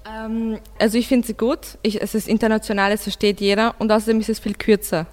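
A woman's voice: on the high side, level tone, fairly quick, a little mellow, long reverb tail. A teenage girl, casually debating as a student guest on school radio.

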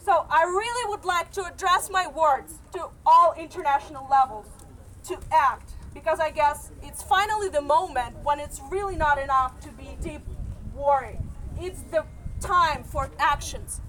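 Woman, very high forceful voice, urgent protest speech, faint background noise. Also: measured pace, rough recording. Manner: urgent, emphatic repetition. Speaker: speaker at a protest.

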